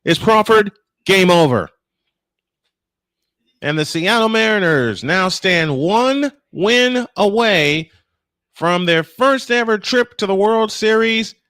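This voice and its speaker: A man with a fairly high voice hosts a live baseball broadcast. He calls the play and speaks straight to his listeners in a folksy way. The sound is dry and close. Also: animated, slow pace, fairly bright tone, no room sound. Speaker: play-by-play sports broadcaster and host. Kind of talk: live baseball commentary and sign-off.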